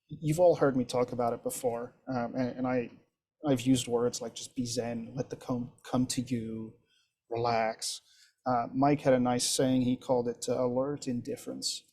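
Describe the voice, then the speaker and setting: Man, french first language, gravelly husky voice, encouraging trainer, video call. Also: medium pitch. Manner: relaxed, encouraging, thinks aloud with ums. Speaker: instructor trainer leading the session. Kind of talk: casual group video-call discussion.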